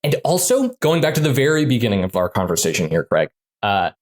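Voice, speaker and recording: A man who designs games, talking casually in an interview, full of fillers and quick restarts. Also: mid-range voice, sing-song. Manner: chatty, many fillers and restarts. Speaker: game designer guest.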